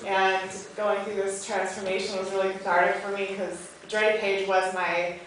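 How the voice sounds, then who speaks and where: A woman's voice: mid-range voice, level tone, moderate pace, distant mic. A woman, a film actress, talking candidly and earnestly in a rambling way at a movie theatre Q&A. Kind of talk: candid personal panel talk. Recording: echoey theatre, off-mic, noisy.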